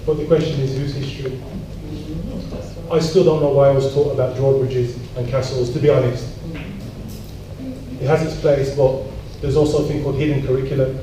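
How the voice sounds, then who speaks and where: A man's voice: medium pitch, flat delivery, normal speed. A man talking informally in a punchy, wry, challenging way, full of rhetorical questions. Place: large echoey room at a public event. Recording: very echoey hall, distant mic, clear noise.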